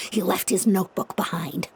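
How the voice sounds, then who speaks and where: A woman's voice: on the low side, a little mellow, a little hiss or hum, natural voice. A woman playing a bitter middle-aged mother in a video game, cold, scornful and dismissive. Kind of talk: video game character acting.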